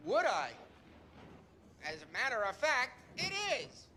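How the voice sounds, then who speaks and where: Boy ventriloquist with squeaky dummy voice, sharp, stagey, corny, TV drama. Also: big pitch swings, unhurried, a little hiss or hum, heavily acted. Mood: corny showmanship. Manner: stagey, corny joke delivery. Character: awkward teenage boy ventriloquist voicing his squeaky dummy. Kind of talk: TV drama acting, ventriloquist act.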